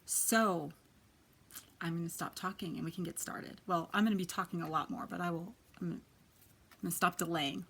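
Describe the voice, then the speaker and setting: A woman in her thirties with a quiet, soft voice, chatting casually as she gives a tarot reading. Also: fairly low pitch, fairly even intonation, brisk, gravelly, breathy edge. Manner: chatty, lots of ums and likes. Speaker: tarot reader.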